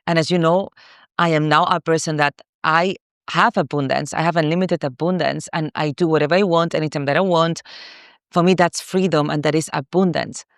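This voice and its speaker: A woman in her thirties, from Morocco, shares her own story in a masterclass, talking candidly and looping back over her words with plenty of fillers. Her voice is smooth and resonant. The recording is dry and close, with no room sound. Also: low voice, steady tone, brisk, dry recording. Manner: candid, repetitive, with many "like" fillers. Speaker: spiritual coach.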